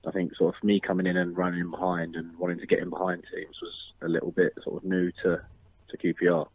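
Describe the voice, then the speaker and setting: A man's voice: low-pitched, monotone, no echo. Man on a tinny phone line. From Northern Ireland. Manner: measured, modest, many ums and restarts. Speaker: football striker, interview guest. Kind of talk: casual interview answers.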